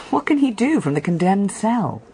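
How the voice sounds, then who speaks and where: A woman's voice: mid-range voice, sing-song, moderate pace, warm tone. An actress playing an inquisitive woman questioning a detective in a radio drama, curious and probing.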